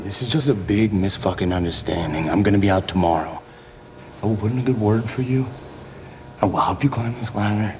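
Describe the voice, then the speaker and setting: Desperate, wheedling male prisoner, lowish voice, gritty screen drama, clear ambient noise. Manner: profane, wheedling.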